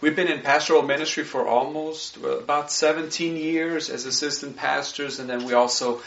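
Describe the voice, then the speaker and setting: A man's voice: a little high, fairly even intonation, moderate pace, gravelly, a bit bright. A man from Switzerland with a slight Swiss accent, presenting his mission work to a congregation in an earnest way with lots of "you know" and "right?". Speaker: missionary speaker. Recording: roomy, dull sound.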